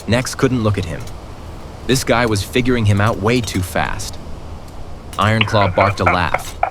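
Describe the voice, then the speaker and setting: A man's voice: on the low side, unhurried, heavy background noise. A man narrating a scripted audio drama as a dramatic storyteller.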